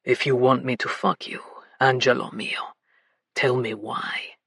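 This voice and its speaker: A possessive man's voice, dropped deep and murmuring low and coaxing, as a woman narrator puts him on. The tone is bright and forceful, with a husky edge, close and dry. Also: steady tone, normal speed, no room sound, full character voice. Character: commanding, possessive grown male lover, low and coaxing.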